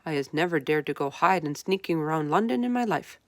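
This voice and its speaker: Naive, eager storybook giant, male, gentle and anxious, muddled folksy grammar, dry close sound; played by a woman. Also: low voice, animated, brisk, no room sound, voice pushed down. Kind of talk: storybook read-aloud character voice.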